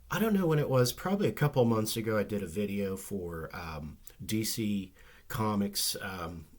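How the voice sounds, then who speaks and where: A man chatting casually about comic books, his voice a little rough and nasal from a recent flu, rambling with many ums and restarts. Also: mid-range voice. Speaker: comic book vlogger. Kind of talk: casual chat.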